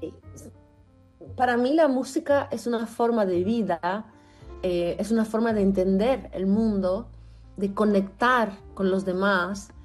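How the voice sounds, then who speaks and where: A woman's voice: medium pitch, darkish tone, husky. Woman musician speaking with careful articulation. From Cuba. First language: French. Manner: deliberate, carefully articulated, earnest. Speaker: musician, interview guest. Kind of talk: reflective interview answers.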